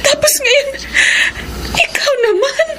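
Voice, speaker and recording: A woman's voice: very high-pitched, takes it slowly. A woman playing a wounded, pleading middle-aged schoolteacher mother in a Filipino radio drama, with an emotional, melodramatic delivery. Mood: anguished, tearful. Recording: dramatic music behind, some background noise.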